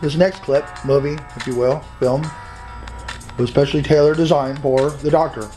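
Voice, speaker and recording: A man's voice: a little high, steady tone, moderate pace, mellow, faint music behind. A man narrating his own case in a personal, dramatized video style.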